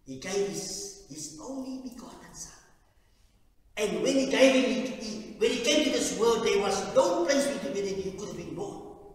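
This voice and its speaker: A man from South Africa preaching at a communion service, with fervent loud peaks that drop to quiet low stretches and frequent halting restarts. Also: expressive. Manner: halting, repeats words and restarts. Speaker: lay preacher. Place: large echoey church hall. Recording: echoey hall from far off, faint hiss.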